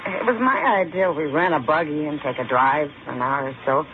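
An American actress playing a feisty, quick-tempered, wry frontier woman in a 1950s radio western. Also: low-pitched, close to an everyday voice. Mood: rueful, embarrassed. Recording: tinny, noisy 1950s radio broadcast recording.

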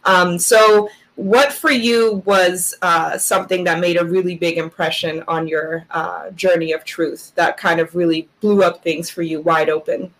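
A woman's voice: fairly low pitch, steady tone, unhurried, hardly any pauses, fairly bright tone, low-quality recording. A woman raised in the Netherlands, hosting a podcast in casual interview chat, earnest and full of fillers like "um" and "literally". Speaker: podcast host.

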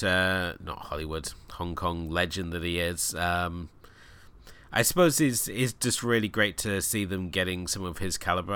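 Deep-voiced man chatting about films. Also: bright, forceful tone, clear, resonant tone. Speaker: film review co-host. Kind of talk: casual chat.

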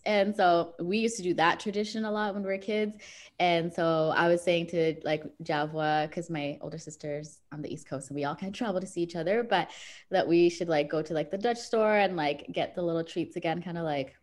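A woman in her thirties from Zambia, talking in a relaxed, rambling way in a casual chat. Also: lowish voice. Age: 30s. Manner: relaxed, rambling, many "like" fillers.

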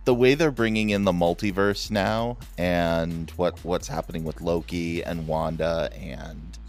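A man with a very deep voice chats about films in a halting way, full of fillers and restarts, with the odd dry joke. Faint music sits under his voice. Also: fairly even intonation. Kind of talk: casual chat about TV and film.

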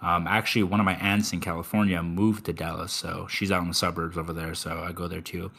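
A man's voice: low-pitched, level tone, fast talker, creaky, sharp tone, slightly rough. A young man hosting a podcast in casual interview chat, laid-back, swearing freely and padding with many ums. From Texas. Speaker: podcast host.

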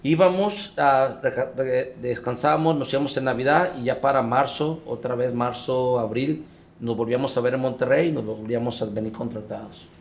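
A middle-aged man from Mexico, recounting his story as an interview guest in a reflective, halting way. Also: mid-range voice. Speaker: guest, father.

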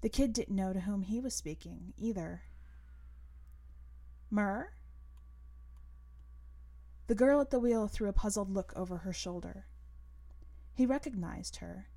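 Mellow woman reading a short story. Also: mid-range voice, moderate pace, gravelly. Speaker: podcast host and story reader. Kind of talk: literary story narration.

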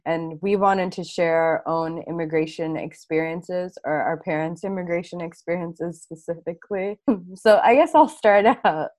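A woman's voice: on the low side, unhurried, a little mellow, dry recording. A woman in her late twenties with an American accent, co-hosting a conversational podcast discussion. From India-born, grew up in the United States. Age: late 20s. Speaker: podcast co-host.

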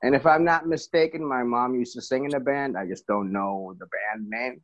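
A man from the Philippines, a musician guest, talking in a relaxed, rambling way and laughing easily. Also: mid-range voice, moderate pace, lo-fi sound. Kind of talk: casual interview.

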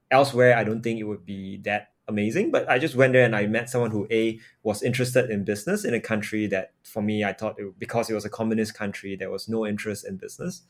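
A man in his thirties from Singapore, answering interview questions thoughtfully with frequent fillers and restarts. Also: on the low side. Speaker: podcast guest, nonprofit founder.

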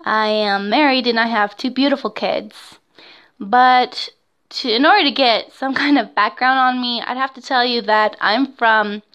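A woman in her twenties, originally from Florida, hosting a casual personal podcast alone. She has a girlish voice and talks in a nervous, halting way, filling pauses with ums and small laughs. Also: clear, resonant tone, dull audio. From West Florida, United States. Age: 20s. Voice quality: high, girlish voice. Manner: nervous and halting, with many fillers and soft laughs. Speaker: podcast host.

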